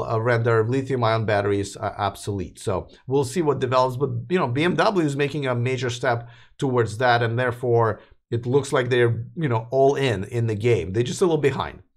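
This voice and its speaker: A man hosting a casual livestream talks in a loose, rambling way, full of "uh" and "you know" and sentences he starts over. His voice sits in a middle pitch and sounds a little worn out from too little sleep. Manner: rambling, many fillers and restarts. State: tired, voice wearing out. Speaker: host of an electric car news livestream. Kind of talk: casual live chat, reading viewer comments.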